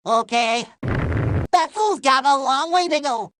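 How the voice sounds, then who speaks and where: A performer voicing a pushy, cheerfully menacing cartoon character who pitches like a salesman in short comedy ad skits. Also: animated, slow pace, background noise. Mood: smug.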